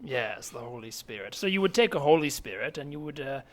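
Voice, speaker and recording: A man playing an excitable, pompous scholar from the far future in improvised comedy, gushing and halting in a mock-learned way. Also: medium pitch, close to an everyday voice. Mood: earnest, fascinated.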